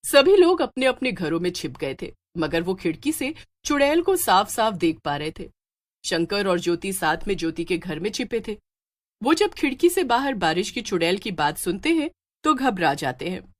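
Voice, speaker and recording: A woman's voice: mid-range voice, very animated, normal speed. A woman storyteller reading scripted, dramatic narration for a children's tale.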